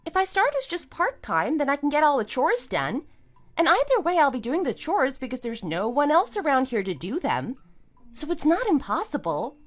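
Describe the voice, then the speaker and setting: An actress playing a put-upon but persistent middle-aged wife who reasons defensively in a scripted audio drama. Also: female voice, high voice, wildly swooping pitch, normal speed, faint music behind. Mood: hopeful, persistent.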